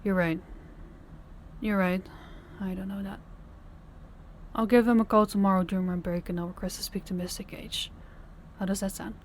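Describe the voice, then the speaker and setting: A woman's voice: medium pitch, little pitch movement, normal speed, pauses often, a little hiss or hum, natural voice. A woman playing an exhausted, stammering young girlfriend. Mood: weary tender. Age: young adult. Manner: soft, hesitant, stammering restarts. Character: young woman, worn-out, loving girlfriend in debt. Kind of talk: audio roleplay acting.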